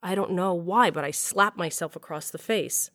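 A woman's voice: lowish voice, normal speed, background noise, natural voice. An actress playing a wry, shaken middle-aged mother and scientist who narrates her own story confessionally in an audio drama. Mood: shaken, confiding. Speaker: narrator and lead character. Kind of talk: first-person audio drama narration and dialogue.

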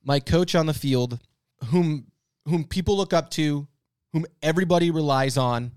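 A man co-hosting a podcast in casual, joking banter, playfully opinionated and animated. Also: fairly high pitch, moderate pace, smooth, dry recording, clean studio sound. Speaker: podcast co-host.

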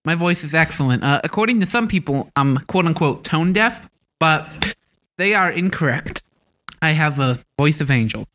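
A man's voice: on the high side, animated, normal speed, fairly bright tone, a little hiss or hum. Playful, bouncy-voiced male co-host. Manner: playful, boastful joker. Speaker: student podcast co-host. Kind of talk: casual chat.

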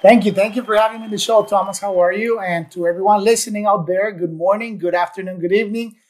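Upbeat high-voiced man from Ecuador. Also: fairly even intonation, fast talker. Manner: upbeat, storytelling, enthusiastic. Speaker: podcast guest, entrepreneur. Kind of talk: casual interview chat.

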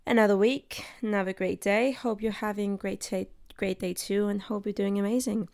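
A woman's voice: mid-range voice, steady tone, moderate pace, a little mellow, no room sound. A young woman from Denmark hosting her own episode, talking in an earnest, heartfelt way and searching for her words. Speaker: podcast host. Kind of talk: personal monologue.

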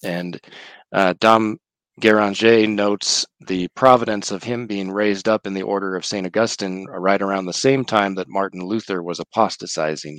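A man with a low voice hosts a religious news roundup. He sounds slightly off from a cold and speaks in a measured, earnest manner. The recording is dry, with very little room sound. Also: no echo, low-quality recording. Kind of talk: hosting a live-streamed religious news roundup.

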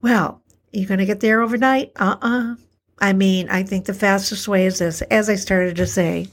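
Slightly hoarse woman, earnest and slow. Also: medium pitch, steady tone. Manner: earnest, repetitive, slows for emphasis. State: a little hoarse. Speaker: podcast host, alternative health practitioner. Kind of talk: reflective solo talk.

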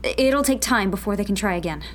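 A woman's voice: mid-range voice, expressive, brisk, a little hiss or hum, natural voice. A woman playing a cocky, sardonic young rebel radio tech in a sci-fi radio drama, casual, wry and brash. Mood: confident.

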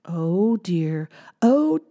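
A woman's voice: lowish voice, sing-song, very slow, mellow, lo-fi sound, full character voice. A woman voicing a fretful mother mouse in a storybook reading, with a fretting, sing-song manner.

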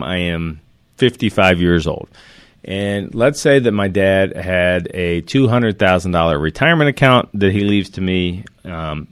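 A man's voice: low voice, dry recording, studio quality. A man explaining retirement rules in an earnest, emphatic way, working through number examples and often restarting his sentences. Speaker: financial commentator. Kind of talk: explanatory talk to camera.

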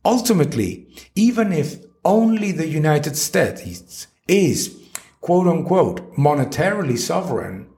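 A man's voice: fairly high pitch, sing-song, a little slow, dark tone. A man reading an opinion article on economics aloud in an emphatic, argumentative manner. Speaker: article narrator.

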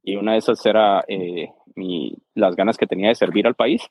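A man's voice: on the low side, fairly quick, darkish tone, no echo, low-quality recording. A man, a podcast guest speaking hesitantly and often tagging his sentences with a question. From Guatemala. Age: 30s. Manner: hesitant, keeps adding "¿verdad?". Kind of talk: casual interview.

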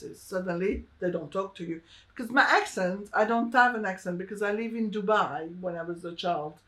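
A French woman, a shoe designer, talking about her life in a loose, animated way, restarting her sentences and acting out what other people said. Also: mid-range voice. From France. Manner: animated, restarts a lot, acts out quoted people. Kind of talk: casual interview chat.

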